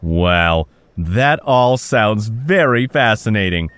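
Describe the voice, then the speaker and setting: A man's voice: lowish voice, animated, slow pace, a bit bright, a little hiss or hum. A man playing a smarmy, theatrical radio telethon host. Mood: showy, upbeat. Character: showy male telethon host on a radio broadcast. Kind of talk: theatrical broadcast presenting.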